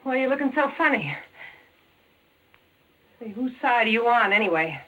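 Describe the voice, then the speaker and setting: A woman's voice: fairly high pitch, lively intonation, moderate pace, a bit bright, dull audio. An actress playing a tough, slangy, vengeful gangster woman in a 1940s radio crime drama. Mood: hard, suspicious. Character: hard-bitten vengeful gangster woman with a gun. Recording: old 1940s radio recording.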